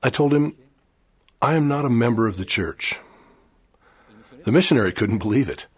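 Husky older man giving a sermon. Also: medium pitch, expressive, moderate pace. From Chile. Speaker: church speaker. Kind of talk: formal sermon.

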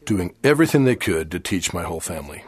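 An older man from Chile giving a formal church sermon in a measured, earnest way. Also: low-pitched, very animated, slightly rough. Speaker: church speaker.

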